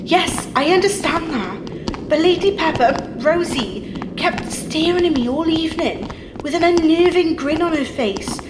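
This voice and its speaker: Young woman, voiced by an actress, anxious, suspicious, high-pitched, proper phrasing, radio play, noisy recording. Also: expressive, a little slow. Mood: uneasy, sullen. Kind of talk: 1930s-style radio play acting. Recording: noisy background hiss.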